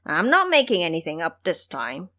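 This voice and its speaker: A cowardly pig-monster fool, played by a woman in an audio storybook. He pleads and protests in exclamations, with a very lively, swinging pitch. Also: moderate pace, exaggerated voice. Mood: indignant.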